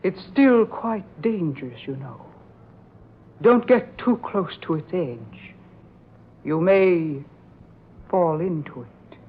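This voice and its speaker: Slow, ominous aunt on tinny radio. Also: female voice, lowish voice, expressive, husky, heavily acted. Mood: veiled warning. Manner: measured, grudging, ominously polite. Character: cold, controlling aunt with veiled menace. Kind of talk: old-time radio drama acting. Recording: tinny, noisy old radio recording.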